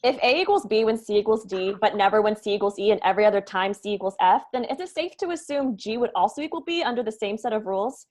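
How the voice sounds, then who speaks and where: A woman's voice: medium pitch, quick pace, hardly any pauses, darkish tone, natural voice. A woman playing a brainy, earnest young college student in a comedy table read. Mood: eager.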